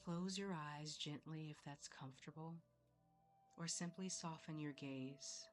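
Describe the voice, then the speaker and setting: Female meditation guide, low register, very unhurried and soothing, soft music underneath. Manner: soothing, measured, with long pauses. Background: soft background music.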